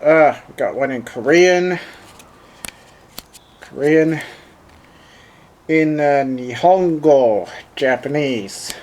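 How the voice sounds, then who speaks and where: A man's voice: fairly high pitch, drawn-out words, pauses often, a little mellow, slight background noise. A man whose first language is German, speaking English with a German accent while unboxing and reviewing a handheld, thinking aloud with many fillers. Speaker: gadget reviewer.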